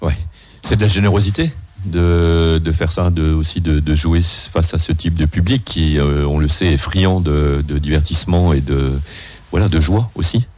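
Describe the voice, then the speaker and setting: Deep-voiced older man hosting radio. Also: moderate pace, a little mellow. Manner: hesitant, many restarts and fillers. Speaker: radio host. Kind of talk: radio interview.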